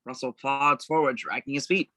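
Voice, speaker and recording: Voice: mid-range voice, normal speed, pushed and bright, dry recording. Dry-sounding table-read narrator of stage directions.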